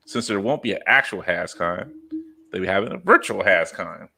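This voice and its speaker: A man's voice: low voice, expressive, normal speed, vocal fry, sharp tone. A man in his thirties hosting a podcast, chatting casually and telling jokes with quoted asides. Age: 30s. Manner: joking, storytelling with quoted asides. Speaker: podcast host. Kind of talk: casual chat.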